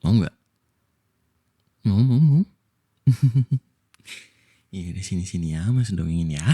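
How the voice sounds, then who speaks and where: Indonesian guy doing asmr bedtime story, low soft breathy voice, slow n sweet boyfriend vibe. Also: lively intonation. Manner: playful, slow, affectionate boyfriend roleplay. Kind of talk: intimate ASMR bedtime storytelling with casual asides.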